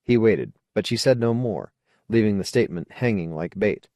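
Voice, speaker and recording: A man's voice: lowish voice, moderate pace, a little mellow, no echo, highs cut off. A man reading fiction aloud as a scripted audiobook narration.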